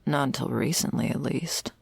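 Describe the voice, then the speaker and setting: A woman playing a jaded, sardonic vampire's servant in an intimate ASMR roleplay, talking in a wry, world-weary, gravelly way. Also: rumbling low, moderate pace, dry recording, exaggerated voice. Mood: wry, cynical. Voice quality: very creaky, gravelly. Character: jaded, sardonic female vampire's servant explaining undead lore.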